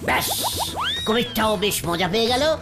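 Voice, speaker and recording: A woman's voice: fairly high pitch, animated, normal speed. A woman voicing a boastful cartoon uncle who spins tall tales in a dramatic, bantering way. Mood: dramatic storytelling. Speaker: storyteller character. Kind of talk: animated cartoon storytelling. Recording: music under the voice.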